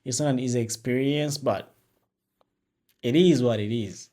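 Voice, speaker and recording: A man's voice: mid-range voice, a little slow, warm tone. Ugandan man chatting casually to camera. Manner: rambling, many fillers and restarts. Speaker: vlogger host. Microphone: external mic with phone camera.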